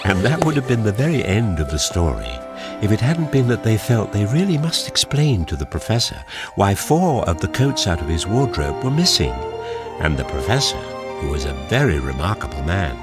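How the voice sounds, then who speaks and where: A man narrating a children's story in an expressive storytelling style. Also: lowish voice, normal speed, over music.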